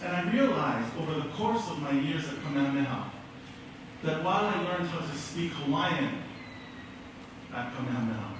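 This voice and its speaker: An earnest middle-aged man delivers a measured speech in a school auditorium, his fairly high voice carried from a distance. The hall rings with heavy echo and a steady hum of room noise. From American Samoa. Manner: measured, earnest storytelling with pauses. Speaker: keynote speaker. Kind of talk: prepared speech. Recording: echoey hall from far off.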